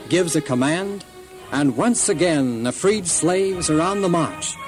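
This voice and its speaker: A man's voice: on the high side, expressive, a little slow, over music, low-quality recording. A man narrating a scripted children's Bible story in a solemn, dramatic storytelling manner.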